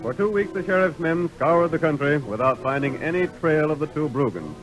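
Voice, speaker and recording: An actor narrating a western radio serial as a dramatic storyteller in scripted old-time style. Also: male voice, a little high, expressive, brisk, natural voice, rough recording. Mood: solemn. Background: music.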